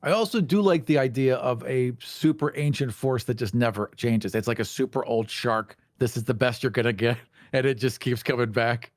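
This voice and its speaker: A man's voice: medium pitch, moderate pace, dry recording, clean studio sound. A man chatting casually as a podcast host. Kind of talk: casual chat.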